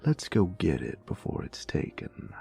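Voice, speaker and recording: A man playing a flirtatious, doting roommate and lover in an intimate ASMR roleplay, with a teasing, folksy drawl and breathy, whispery moments. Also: huge pitch swings, measured pace, mellow, faint music behind, heavily acted. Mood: playful, upbeat. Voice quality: very deep, gravelly, breathy whispers.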